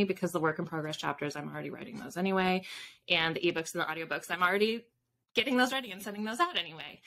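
A woman's voice: mid-range voice, a bit bright, a little hiss or hum. A woman in her thirties chatting casually as an interview guest on a video call. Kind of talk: casual chat. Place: indoors in a room with bookshelves, on a video call.

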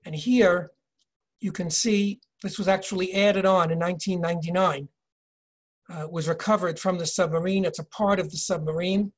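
An older man in his sixties leading a virtual tour lecture from home over a video call, measured and explanatory, reading inscriptions slowly. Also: high-pitched, fairly even intonation. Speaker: tour guide and educator. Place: home office, indoors. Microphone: video call webcam.

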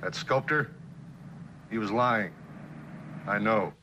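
An actor playing an insistent anonymous tipster in a 1960s TV drama, speaking in a terse, guarded way. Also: male voice, on the low side, slow pace, sharp tone. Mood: secretive. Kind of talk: 1960s television drama acting. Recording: noisy street phone booth.

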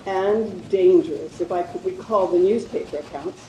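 Older woman as a mellow, prim Edwardian confidante, roomy sound. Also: fairly low pitch, dull audio, natural voice. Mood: cautioning. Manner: genteel, measured period diction. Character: prim, sensible Edwardian lady confidante, gently counseling. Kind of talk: radio mystery play acting. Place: room at home, video call. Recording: roomy, slightly noisy video call.

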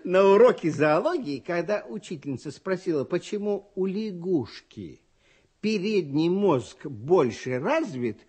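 Male children's radio host, husky high voice, expressive comic storyteller. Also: unhurried. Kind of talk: lively comic radio presenting, reading listeners' letters.

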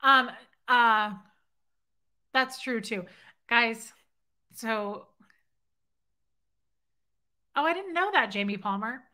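Bright-voiced woman hosting a playful livestream. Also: fairly high pitch, extremely slow, long pauses. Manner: playful, teasing, flirty banter. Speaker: livestream host. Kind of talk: casual livestream chat reading comments. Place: home living room, indoors. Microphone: webcam at desk.

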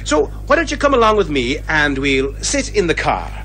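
A man's voice: a little high, wildly swooping pitch, normal speed, a bit bright, heavily acted. A man playing a bumbling, genial police inspector who rambles hesitantly and folksily in a radio comedy drama. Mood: affable, flustered.

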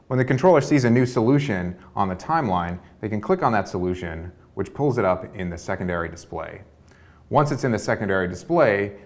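A young man giving a fluent, orderly walkthrough of a prototype. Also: on the low side. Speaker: researcher demonstrating a prototype. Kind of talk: product demo narration. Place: dark studio with display screens.

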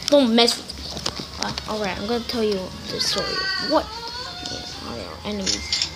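A young girl giving casual commentary while playing a game on a tablet, chatty and quick to react loudly to other players. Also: high-pitched. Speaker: gamer. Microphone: tablet microphone. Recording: loud background noise.

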